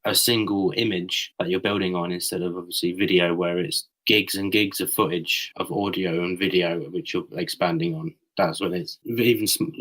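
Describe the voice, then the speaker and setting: A man with a deep voice and a bright, forward tone talks as a podcast guest. He sounds slightly croaky and explains his work in a loose, chatty way full of fillers. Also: poor audio. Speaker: guest photographer. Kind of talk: casual conversational explanation. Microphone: voip call.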